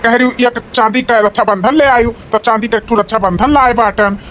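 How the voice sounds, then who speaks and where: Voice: steady tone, a little fast, fairly bright tone, close to an everyday voice. A performer voicing a practical, patient village husband in an Awadhi comedy cartoon, plain-spoken and questioning. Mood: matter-of-fact. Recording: faint rumble and background noise.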